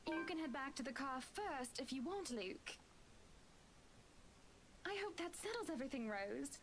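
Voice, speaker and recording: A woman's voice: high-pitched, animated, a little slow, slight background noise, pitched above the performer's own voice. A woman voicing a gracious mansion hostess who speaks politely and formally. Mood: courteous.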